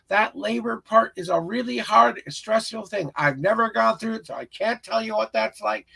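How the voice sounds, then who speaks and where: A man hosting a live tarot reading in a notably high voice with a gravelly, crackly edge. His tone is husky and raspy. He talks in an earnest, encouraging, meandering way, offering casual spiritual guidance to a viewer. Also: a bit bright. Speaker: tarot reader, live stream host.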